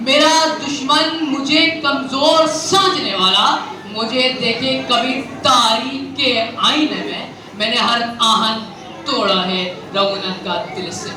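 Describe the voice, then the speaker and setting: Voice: medium pitch, takes it slowly. Student contestant, Pakistani accent, fiery speech, theatrical rhetorical delivery, very echoey, distant noisy recording. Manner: theatrical, rhetorical, with repeated phrases for emphasis. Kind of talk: fiery declamatory Urdu speech with poetry.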